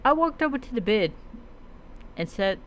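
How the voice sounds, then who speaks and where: A streamer narrating a fantasy adventure tale in a dramatic storytelling style, halting and often stumbling over and repeating words. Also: medium pitch, sing-song, fairly bright tone, slight background noise, rough recording. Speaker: streamer narrating own roleplay character's story.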